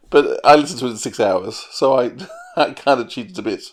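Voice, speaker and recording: A man's voice: mid-range voice, normal speed. A man co-hosting a podcast in a chatty, casual way. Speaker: podcast co-host. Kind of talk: casual chat.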